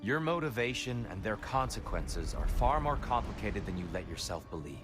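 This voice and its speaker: A man playing a calm, wise guide in a video game, speaking in a measured, formal, probing way. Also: on the low side. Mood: solemn, probing. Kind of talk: cinematic video game acting. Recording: music under the voice.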